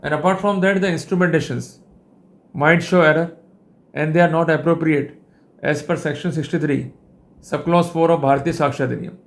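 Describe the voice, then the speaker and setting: A man with an Indian accent and a slightly high voice delivers a legal lecture. His phrasing is formal and wordy, and he explains things like a teacher setting out rules. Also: steady tone, brisk. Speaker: legal educator and host.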